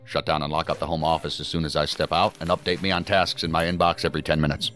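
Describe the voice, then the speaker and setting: An actor playing a measured senior federal agency director in a sci-fi audio drama, formal and deliberate in his speech. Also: very deep voice, flat delivery, fairly bright tone. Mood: commanding, businesslike.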